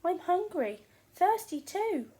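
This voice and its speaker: A woman voicing Jack, a bold and cheeky young boy who sounds eager and excited. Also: extremely high pitch, takes it slowly, pitched above the performer's own voice, full character voice.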